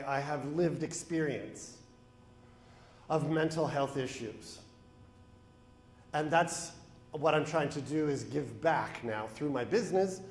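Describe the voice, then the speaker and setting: A man with a big voice, a mental health educator giving a lively talk to an event crowd on a handheld mic. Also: echoey room, a little hiss or hum. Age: young adult. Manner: loud, booming, engaging the crowd. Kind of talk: motivational talk to an audience. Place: event hall. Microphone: handheld microphone.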